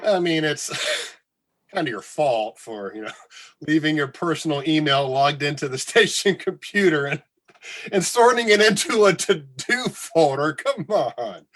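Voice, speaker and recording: A man playing an awkward, sarcastic radio colleague with a husky voice and halting delivery in a comedy radio drama. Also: fairly high pitch, expressive, a bit bright. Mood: sheepish. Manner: halting, hesitant, stammering.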